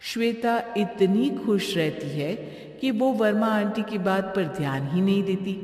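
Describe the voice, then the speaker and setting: Slow-paced woman narrator, echoey. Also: mid-range voice, lively intonation, darkish tone, faint music behind. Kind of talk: scripted story narration. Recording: echoey with long reverb.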